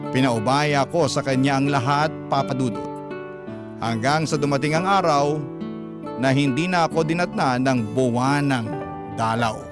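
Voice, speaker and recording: A man's voice: medium pitch, normal speed. Filipino male storyteller over prominent guitar music. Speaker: narrator reading a letter sender's love story. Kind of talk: scripted radio storytelling narration.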